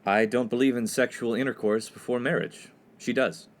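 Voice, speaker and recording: A man's voice: medium pitch, steady tone, normal speed, close to an everyday voice. An actor playing an earnest, prim and exasperated young college freshman in a comedy radio play. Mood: resigned. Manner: earnest, polite, pedantic.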